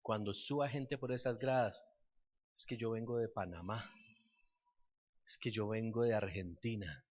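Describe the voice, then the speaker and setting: A man preaching a fervent sermon that turns into a declared prayer, repeating words as he builds to loud peaks. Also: on the low side, fairly even intonation, measured pace, pauses often, muffled recording. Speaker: pastor.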